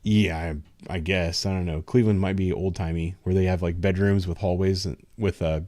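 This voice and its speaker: A man with a low voice talks loosely and frankly, a patrol officer chatting on a casual livestream. He hedges with ums and restarts his sentences. The sound is dry and close. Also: fairly even intonation, fairly quick, dark tone, no echo. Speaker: patrol officer, show host.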